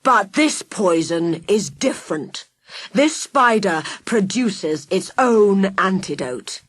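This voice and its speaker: A woman voicing a sneering male villain mastermind, drawing out his words slowly and menacingly. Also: medium pitch, animated, dry recording. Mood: sneering menace.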